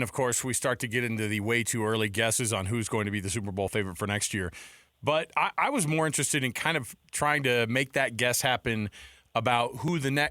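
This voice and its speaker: A man's voice: mid-range voice, sharp tone, dry recording, clean studio sound. A man co-hosting a sports radio show, working his way conversationally through a wordy, roundabout question. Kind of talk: conversational sports talk interviewing. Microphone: close studio microphone.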